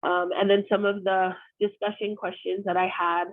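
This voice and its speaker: A woman's voice: mid-range voice, little pitch movement, normal speed, no room sound. A woman in her thirties hosting an online group discussion over a video call, candid and conversational with frequent fillers. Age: 30s. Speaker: discussion host. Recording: dry, phone-like video call audio.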